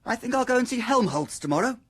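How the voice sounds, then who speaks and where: A man's voice: very high voice, expressive, fast, fairly bright tone, slightly rough, heavily acted. An actor playing an anxious, insecure young man who stammers and frets, in a radio drama. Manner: stammering, nervous.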